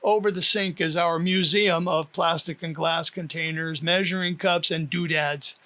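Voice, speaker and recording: Author-narrator: man with a high, bright voice, expressive scripted first-person storytelling. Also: moderate pace. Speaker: author reading own audiobook.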